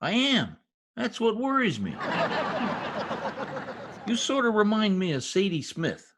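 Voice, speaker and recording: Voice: mid-range voice, huge pitch swings, measured pace, very noisy. A performer in a 1940s-style radio comedy-mystery voicing a shady, wisecracking informant with slangy, ungrammatical talk. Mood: sly, wry. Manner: streetwise slangy talk with bad grammar. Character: shady, wisecracking lowlife gangland informant nicknamed Piggy. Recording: husky-sounding home recording over video call.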